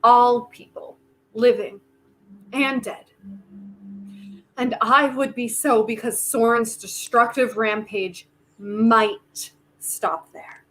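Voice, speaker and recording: A woman playing a grave, conflicted human who holds apocalyptic power, speaking deliberately and philosophically in a play reading. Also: fairly high pitch, a bit bright, rough recording. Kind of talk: virtual staged play reading.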